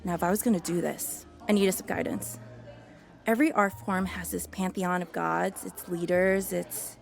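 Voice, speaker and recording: An actress playing a young, people-pleasing hustler in a scripted podcast comedy, with an animated, rambling, sardonic way of talking. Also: female voice, on the low side, normal speed, gravelly, faint music behind. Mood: confident narration. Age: young adult. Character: young, hustling, people-pleasing woman, sharp-tongued and desperate.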